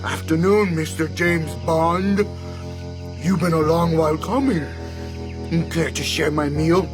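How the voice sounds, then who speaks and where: An actor playing a wounded, sly and defiant assassin in a radio drama, taunting in a gravelly voice. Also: male voice, fairly high pitch, takes it slowly, music in the background. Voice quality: gravelly, creaky.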